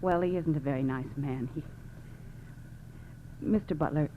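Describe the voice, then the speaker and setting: An actress playing a gangster's anxious wife, pleading and sweetly persuasive, in an old-time radio crime drama. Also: female voice, deep voice, moderate pace, natural voice. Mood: troubled, confiding. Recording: tinny, noisy old radio recording.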